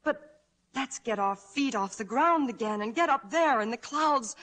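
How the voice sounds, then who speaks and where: Devoted young wife, 1940s radio drama. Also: female voice, a little high, lively intonation, unhurried, fairly bright tone. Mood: tender encouragement. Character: devoted, hopeful, encouraging young wife of an inventor. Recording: old 1940s radio broadcast recording.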